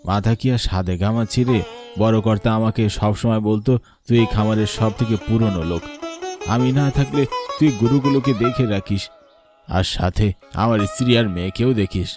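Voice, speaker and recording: A man voicing a loyal old cowherd in a cartoon, low, weary and fretful, with music behind him. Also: normal speed, darkish tone, natural voice. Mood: worried. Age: older. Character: worried, loyal old male cowherd on a farm. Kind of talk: cartoon voice acting.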